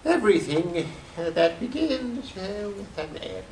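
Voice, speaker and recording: A man playing a sleepy, testy little mouse who dithers and rambles haltingly in live radio theatre. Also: high voice, very animated, darkish tone, poor audio. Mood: drifting, drowsy. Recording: live stage with background noise.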